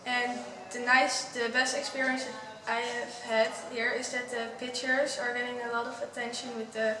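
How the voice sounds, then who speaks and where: A young woman, a softball pitcher, speaking earnestly. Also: flat delivery, normal speed, recorded from a distance, a crowd in the background. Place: indoors by a brick wall. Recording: echoey hall from afar with noise.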